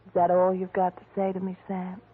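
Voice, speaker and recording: A woman's voice: lowish voice, monotone, a little fast, slightly rough. A woman playing a glamorous, forward woman from a detective's past, in 1940s radio drama style. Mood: cool, reproachful. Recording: muffled, narrow-band 1940s radio recording.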